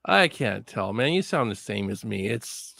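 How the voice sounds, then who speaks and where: A man from Canada talks as a podcast guest, relaxed and quick to laugh. His voice is gravelly, with fry at the ends of phrases. The sound is dry and close, with no room in it. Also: lowish voice, no room sound. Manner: relaxed, laughs often, swears freely. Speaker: guest filmmaker. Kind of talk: casual podcast chat.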